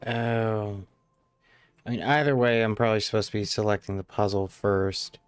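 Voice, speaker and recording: A man's voice: on the low side, fairly even intonation, moderate pace, clean tone, no room sound. A man giving casual, offhand gameplay commentary as a live streamer, muttering his reactions. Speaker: live streamer playing a game. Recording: faint game music behind. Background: game music.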